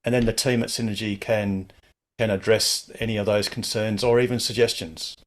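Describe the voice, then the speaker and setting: A man hosting a casual online Q&A, relaxed as he hands over to a guest. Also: on the low side, moderate pace. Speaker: club show host.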